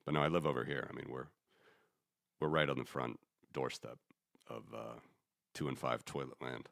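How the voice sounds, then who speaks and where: Man, very low voice, rambling podcast host, opinionated, dry close sound. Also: steady tone, normal speed, vocal fry, fairly bright tone, no room sound. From United States. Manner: rambling, restarts, thinks aloud, opinionated. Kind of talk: casual economics commentary.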